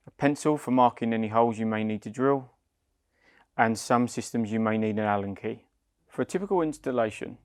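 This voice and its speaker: A man's voice: medium pitch, dry recording, clean studio sound. A man giving a scripted instructional product narration, explaining plainly step by step. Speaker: company head of client development, instructor.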